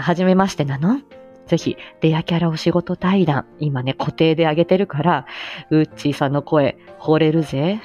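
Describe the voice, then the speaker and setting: A woman with a slightly low voice hosts a casual solo livestream, speaking in an effusive, humble way. The audio is a little dull and lo-fi, with music playing behind her. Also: darkish tone. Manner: effusive, humble, often laughing mid-talk. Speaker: livestream host and amateur voice actor.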